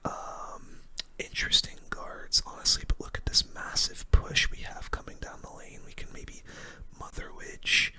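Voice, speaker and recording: Whispering ASMR gaming host. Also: measured pace. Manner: whispers throughout, relaxed delivery. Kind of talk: whispered ASMR game commentary.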